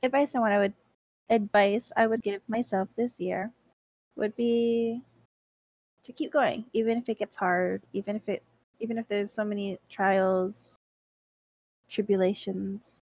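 A woman's voice: mid-range voice, fairly even intonation, measured pace, long pauses, darkish tone. A woman in her twenties co-hosting a podcast, teasing her friends, giggling and asking the group questions. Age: 20s. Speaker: podcast co-host. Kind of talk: casual chat.

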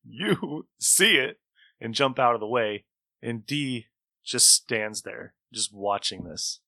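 A man's voice: medium pitch, expressive, takes it slowly, bright, forceful tone, no room sound. A man narrating a tabletop roleplaying game as game master.

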